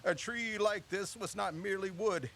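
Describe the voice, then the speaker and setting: A man's voice: sits high, moderate pace, gravelly, fairly bright tone, slight background noise. An actor playing a proud, theatrical older father who runs walking tours and speaks in grand, old-fashioned phrases in an audio drama. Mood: grand, declaiming.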